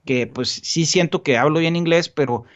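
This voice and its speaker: A man talks at length in an earnest, rambling way, circling back with restarts and fillers. His voice sits slightly high, and the recording sounds dull and lo-fi. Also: moderate pace. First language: Spanish. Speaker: guest. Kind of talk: casual podcast conversation.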